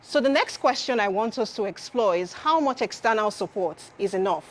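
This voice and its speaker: A woman's voice: medium pitch, animated, moderate pace. A woman presenting at a conference, explaining her points step by step and repeating key phrases for emphasis.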